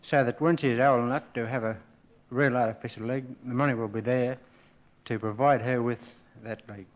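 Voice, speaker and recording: A man's voice: mid-range voice, steady tone, moderate pace, rough recording. A middle-aged Australian man answering questions as a quiz contestant, plain, polite and hesitant, on a worn old radio recording.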